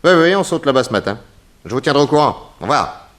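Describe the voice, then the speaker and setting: An actor playing an urbane, easygoing gentleman detective in a 1960s French radio drama, with a genial, unhurried manner. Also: medium pitch, very animated, slight background noise. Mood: businesslike.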